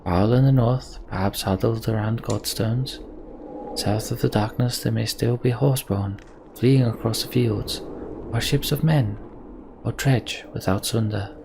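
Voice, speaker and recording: Guy doing a let's play, slow and reflective, creaky voice, bit of noise behind him. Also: fairly low pitch. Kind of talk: game commentary and read-aloud of game text. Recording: slight background noise.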